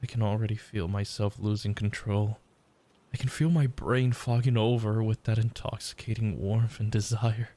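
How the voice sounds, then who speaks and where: A man voicing a desperate, lovesick, self-loathing young yandere in an intimate ASMR roleplay, halting and stammering. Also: on the low side, fairly even intonation, dark tone, lightly acted. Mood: tender, anxious. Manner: halting, stammering, pleading, breathy sighs.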